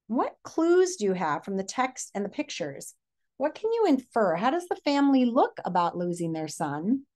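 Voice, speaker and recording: A middle-aged woman with a medium-pitched voice reads to pupils as their teacher. Her delivery is deliberate, like classroom storytelling, with pauses to put questions to the listener. She is recorded indoors at about arm's length from the device. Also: mellow. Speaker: teacher reading a picture book aloud. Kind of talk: read-aloud lesson with questions to pupils. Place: small furnished living room or hotel room, indoors.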